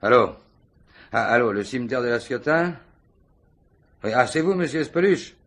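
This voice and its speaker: A man's voice: medium pitch, expressive, normal speed, fairly bright tone, lightly acted. An actor playing a dogged, falsely casual police commissioner in a French radio mystery, muttering and repeating himself as he probes. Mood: brisk, businesslike. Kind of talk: French radio play acting.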